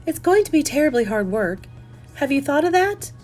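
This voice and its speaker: A woman's voice: high voice, big pitch swings, normal speed, a little mellow, faint music behind, pitched above the performer's own voice. A woman reading a story, voicing a critical older mother who nags in a plaintive, huffy manner.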